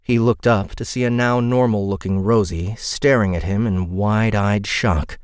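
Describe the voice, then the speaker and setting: A man's voice: lowish voice, fairly even intonation, unhurried, clear, resonant tone, dry recording, studio quality. A man reading dramatic scripted fantasy narration as an audiobook narrator.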